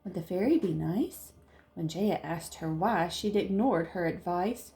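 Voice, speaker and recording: A woman teacher reading a storybook aloud to young children, expressive and explaining things in simple terms. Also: on the low side, measured pace. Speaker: second-grade teacher.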